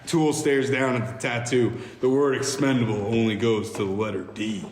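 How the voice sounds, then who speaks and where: A man's voice: mid-range voice, fairly even intonation, normal speed, darkish tone. A man reading screenplay directions aloud in plain, amateur narration at a podcast table read. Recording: echoey room, long reverb, background noise.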